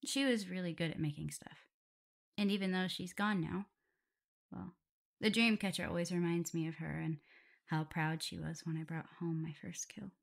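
Woman acting low, creaky, shy young werewolf caregiver. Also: steady tone, moderate pace. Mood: wistful. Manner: hesitant, stammering, soft, breathy whispers at times. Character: shy, caring, self-doubting young omega werewolf woman tending a sick alpha. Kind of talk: intimate ASMR roleplay audio.

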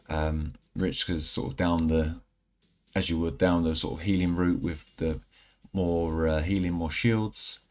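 A man in his thirties giving casual, rambling commentary over mobile game footage, a little croaky from a night out. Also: sits low. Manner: relaxed, rambling, self-correcting. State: slightly croaky from singing. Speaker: gaming channel host. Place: indoor room at home.

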